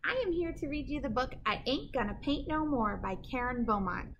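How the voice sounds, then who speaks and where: High, lively woman reading to children. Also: normal speed, highs cut off, a little hiss or hum. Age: middle-aged. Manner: animated, dramatic emphasis, sing-song rhyming delivery. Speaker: school librarian reading picture books aloud. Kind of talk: expressive children's read-aloud. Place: indoor library with bookshelves.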